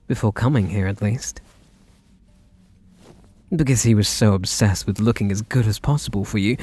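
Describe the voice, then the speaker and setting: A man's voice: lowish voice, a little mellow, no room sound. A man voicing a teasing, obsessively devoted butler in an intimate ASMR roleplay, full of sugary endearments.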